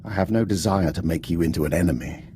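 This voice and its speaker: An actor playing a composed, calculating, self-serving older scientist who speaks formally and precisely in a video game. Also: male voice, sits low, fast, dark tone, slight background noise, lightly acted. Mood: smooth, calculating.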